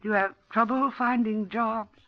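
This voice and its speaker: A woman's voice: on the high side, fairly even intonation, moderate pace, close to an everyday voice. An actress playing a frightened older widow, pleading and trying to placate her captor in a 1940s radio drama. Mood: nervous, placating. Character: frightened old widow held captive at home. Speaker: heroine and narrator. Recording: dull 1940s radio broadcast.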